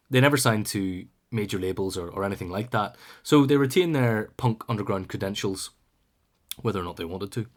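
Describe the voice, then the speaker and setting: A man hosting a music show, talking casually between songs in a relaxed, musing way, with frequent ums and dry asides. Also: lowish voice.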